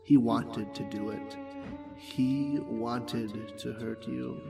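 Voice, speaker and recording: A man playing a menacing, manipulative supervillain in an audio drama, taunting in a gravelly voice. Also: medium pitch, steady tone, very slow. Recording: music over the voice. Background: music.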